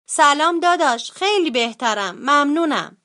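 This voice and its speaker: A woman voicing a young girl bear from a children's storybook, pleading in a high whiny tone. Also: lively intonation, sharp tone. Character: young girl bear, sick but cheeky.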